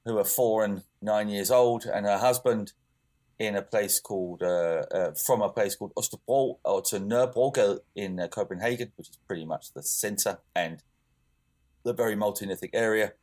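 Young guy, low voice, easygoing podcast co-host, loose rambling delivery. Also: normal speed, darkish tone. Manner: rambling, many fillers and restarts. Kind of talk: casual chat.

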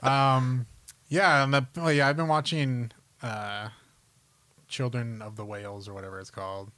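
A man's voice: mid-range voice, steady tone, normal speed, fairly bright tone, no room sound. Casual male podcast host, dry close sound. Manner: informal, conversational.

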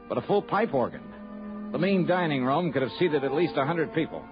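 Casting: male actor as businesslike private investigator, mid-pitched and lively, for a vintage radio play; muffled old recording with music. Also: lightly acted. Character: businesslike, patient but puzzled male investigator. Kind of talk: old-time radio drama acting and first-person narration.

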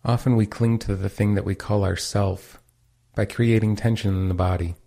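A man leading a guided meditation as its teacher, giving calm, unhurried instructions. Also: on the low side, gravelly.

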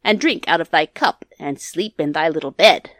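Woman voicing a loud, insistent storybook frog. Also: deep voice, moderate pace, dry recording, clean studio sound. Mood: bargaining. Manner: archaic, declamatory, insistent. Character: pleading, formal enchanted male frog. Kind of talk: storybook character voice.

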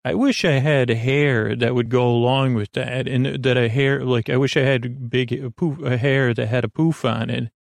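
Male podcast host, fry-heavy voice in a dry recording, wandering and hesitant bedtime rambling. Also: mid-range voice, fairly even intonation, no room sound. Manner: meandering, halting, trails off into tangents and self-questioning. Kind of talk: rambling monologue for a sleep podcast.

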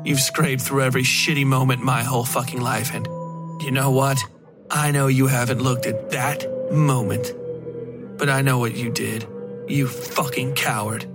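A man's voice: medium pitch, little pitch movement, normal speed, loud music behind the voice, close to an everyday voice. A man voicing a defiant, hard-edged woman writer in dramatic audio fiction, blunt and combative. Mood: furious.

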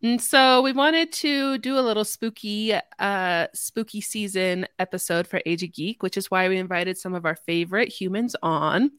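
A woman's voice: medium pitch, moderate pace, few pauses, fairly bright tone, clear, resonant tone, no echo, clean studio sound. A woman hosting a podcast in a casual, chatty style. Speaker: podcast host.

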